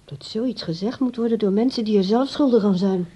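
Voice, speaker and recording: An actress playing a fretful, dissatisfied grown daughter in a radio play, complaining and blaming in a put-upon way. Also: female voice, medium pitch, brisk, warm tone, lightly acted.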